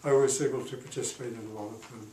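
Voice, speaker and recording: A man in his eighties, a retired diplomat, recalling his career in an oral history interview, pausing often with fillers. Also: mid-range voice, steady tone. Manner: halting, many pauses and fillers. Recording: roomy, distant mic, faint noise.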